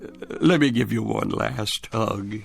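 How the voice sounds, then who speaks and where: An actor playing an anxious, stubborn grandfather in his seventies in a radio drama, with a halting, stammering delivery. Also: on the low side, gravelly, faint music behind. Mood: resigned. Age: 70s. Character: frail, anxious, stubborn 76-year-old grandfather. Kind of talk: old-time radio drama acting.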